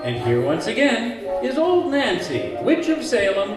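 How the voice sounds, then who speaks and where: A man plays an ominous vintage radio announcer with hugely swooping, dramatic delivery. Loud music plays along, and the voice echoes in a hall. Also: very high-pitched, wildly swooping pitch, measured pace, full character voice. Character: ominous old-time radio horror show announcer. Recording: echoey hall, distant mic under music.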